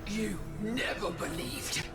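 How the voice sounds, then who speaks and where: A woman's voice: mid-range voice, animated, slow. An actress playing a proud, scornful, vengeful woman in a fantasy game. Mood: furious, betrayed. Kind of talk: scripted game voice acting. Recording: echoing voice under loud music.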